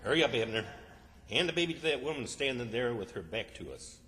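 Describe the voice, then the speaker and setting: A man's voice: medium pitch, animated, fairly quick, bright, forceful tone. A man playing a bossy, scheming country fellow with a rural Southern American accent, grumbling in an old-time radio comedy. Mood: urgent. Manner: grumbling, sarcastic, folksy. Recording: stage performance in reverberant hall.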